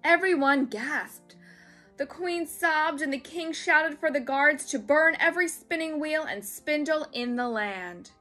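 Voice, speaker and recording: A woman reads a story for children in a high, bright voice. Her delivery is dramatic and expressive, with soft music underneath. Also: a little slow.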